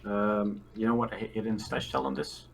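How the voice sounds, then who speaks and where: A man's voice: lowish voice, steady tone, moderate pace, gravelly, poor audio. A man who plays in a tabletop game, chatting casually with his group. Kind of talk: casual chat.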